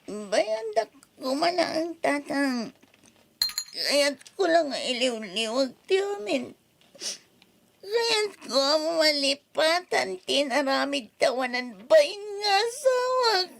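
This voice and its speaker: A woman acting as a heartbroken young mother in an old radio drama, with an emotional, drawn-out delivery. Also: high-pitched, big pitch swings, vocal fry, exaggerated voice. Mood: anguished.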